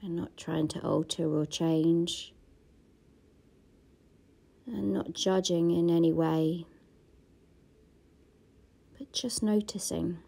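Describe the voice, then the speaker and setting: Woman meditation guide: dark, gravelly voice, soft and half-whispered, unhurried. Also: on the low side, steady tone. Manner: slow, soft-spoken, often half-whispered.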